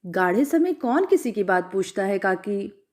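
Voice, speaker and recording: A woman's voice: medium pitch, very animated, unhurried, darkish tone, pitched below the performer's own voice. A woman voicing a humble, kindly young village mother with deferential, rustic phrasing in a storytelling reading. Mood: earnest defending.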